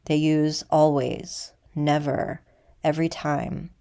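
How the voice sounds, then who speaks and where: A woman leads a guided meditation in a soft-edged, very mellow voice. Her register sits a little low, with a gravelly creak. She gives instructions deliberately, leaving space between phrases. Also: little pitch movement. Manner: measured, deliberate, with pauses.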